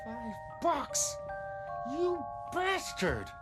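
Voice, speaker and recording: A man's voice: very high-pitched, huge pitch swings, drawn-out words, loud music behind the voice, pitched above the performer's own voice, exaggerated voice. A man voicing a harsh, needy woman, a prostitute and mother, in a motion comic drama, pleading and scolding. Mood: furious.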